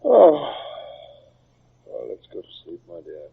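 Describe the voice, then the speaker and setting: A man's voice: a little high, wildly swooping pitch, slow, creaky, a little hiss or hum, heavily acted. An actor playing an anxious, dutiful naval captain in an old radio drama, sometimes hesitant in his speech. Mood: worried, weary. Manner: hesitant, stammering when flustered. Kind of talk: 1950s radio drama acting. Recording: muffled, narrow-band old radio recording.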